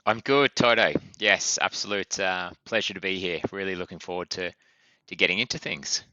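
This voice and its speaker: A man with a strong Australian accent, a guest on a business podcast, explaining in a brisk way with many fillers. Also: sits low, pushed and bright, dry recording, muffled recording. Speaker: guest from a funding company. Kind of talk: podcast interview.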